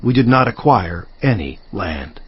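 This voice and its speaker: An actor playing a resolute biblical governor, narrating scripture in a solemn, emphatic, declamatory manner. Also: male voice, lowish voice, very animated, a little slow, slightly rough, no echo, dull audio, full character voice. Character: resolute, indignant biblical governor recounting his own deeds. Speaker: narrator of dramatized audio Bible.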